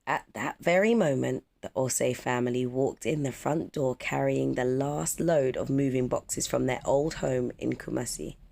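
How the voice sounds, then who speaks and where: A storyteller reading a children's picture book aloud in measured, expressive phrases. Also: medium pitch, creaky. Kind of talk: children's storybook read-aloud, scripted narration.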